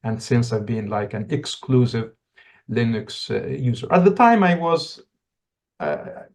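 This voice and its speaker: A middle-aged man from Tunisia, a podcast guest telling his story in a reflective, halting way, with many restarts. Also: medium pitch, lively intonation, creaky, a little mellow. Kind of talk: casual interview talk.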